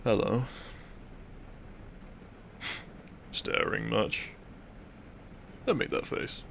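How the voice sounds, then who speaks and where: Man acting as low, gravelly, dry-witted best friend. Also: drawn-out words, a bit bright, slight background noise, heavily acted. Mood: shy, teasing. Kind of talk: intimate audio roleplay acting.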